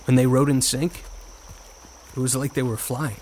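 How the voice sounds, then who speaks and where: Actor as a wry young college guy, mid-pitched. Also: little pitch movement, normal speed, a little mellow, a little hiss or hum, natural voice. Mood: casual, coaxing. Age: young adult. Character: privileged, wry, cocky young male college student narrator. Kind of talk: scripted audio drama narration and dialogue.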